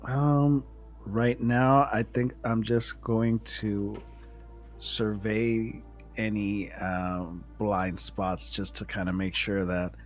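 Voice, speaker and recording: Low-voiced man playing a tabletop game. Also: steady tone, slow pace, soft background music. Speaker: tabletop roleplaying game player. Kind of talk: casual narrating of character's actions.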